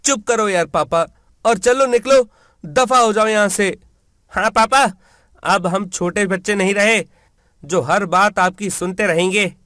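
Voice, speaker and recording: A man's voice: high voice, measured pace, sharp tone, no echo, studio quality, voice pushed up. A man voicing a spoiled, greedy grown son, brash and dismissive, in a storybook tale. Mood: contemptuous.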